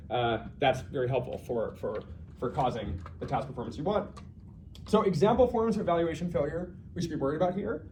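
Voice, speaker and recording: Guy doing a casual research talk, talks fast, kinda thinking out loud, bit of noise in the background. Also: a little high. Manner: conversational, thinking aloud with self-corrections and asides. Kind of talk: informal research lecture.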